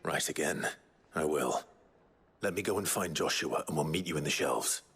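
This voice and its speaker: An actor playing a resolute hero in a fantasy video game, speaking in a serious, terse, direct way. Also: male voice, very deep voice, moderate pace, fairly bright tone, breathy edge, exaggerated voice. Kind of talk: dramatic video game acting.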